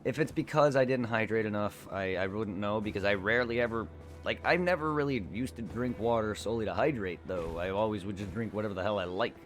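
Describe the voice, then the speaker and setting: Quiet, rambling low-voiced man streaming. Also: quick pace, soft background music. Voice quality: quiet normal voice. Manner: rambling, self-correcting, laughs easily. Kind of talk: casual chat during a game stream. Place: home streaming setup.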